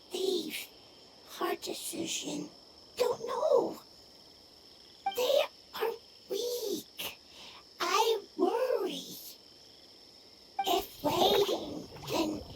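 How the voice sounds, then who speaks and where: A woman voicing a small, simple-minded creature spirit in a video game, earnest, speaking in halting broken fragments. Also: extremely high pitch, lively intonation, heavy background noise, heavily acted. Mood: worried. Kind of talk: video game character acting. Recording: music behind the voice.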